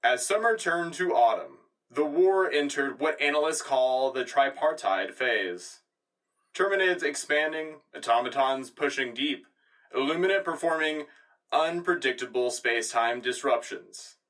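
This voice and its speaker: An actor playing an earnest, patriotic wartime news anchor reading a formal, scripted sci-fi radio broadcast. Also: male voice, mid-range voice, animated, a little slow, a bit bright, far from the mic, poor audio. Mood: grave, official. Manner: formal, announcer-like. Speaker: radio co-host.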